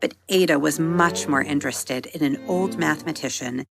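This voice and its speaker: A woman narrates a children's storybook tale in a low register with plenty of vocal fry. Her delivery is measured and expressive, like scripted podcast storytelling. Music plays behind her voice.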